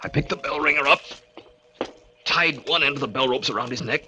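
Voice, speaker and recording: A man's voice: medium pitch, fairly quick, slight background noise. An actor in a 1940s radio drama playing a fevered, murderous man sliding into madness, with melodramatic delivery. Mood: eerie, hushed. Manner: melodramatic, swinging from hushed to frantic. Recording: old radio broadcast, organ music behind.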